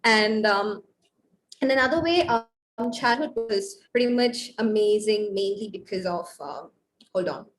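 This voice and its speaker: A woman's voice: mid-range voice, expressive, measured pace, low-quality recording. Young woman panelist, hesitant and chatty. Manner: hesitant, many ums, friendly. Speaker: panelist, mass communication student. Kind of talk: casual panel chat.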